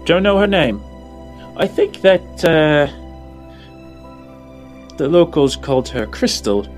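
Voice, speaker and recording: A man's voice: fairly high pitch, sing-song, slow pace, faint music behind, pitched above the performer's own voice. A man voicing a smug, amoral information slicer in a tabletop game, genteel and condescending in manner. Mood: dry, probing. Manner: genteel, condescending, calls listener dear and darling.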